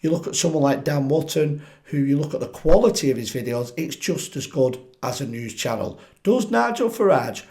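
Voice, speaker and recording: Croaky man ranting politics. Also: a little high, normal speed, few pauses. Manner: animated, asks viewers directly, frequent restarts. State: croaky voice from chest infection. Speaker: political commentary channel host. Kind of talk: opinionated solo commentary to camera.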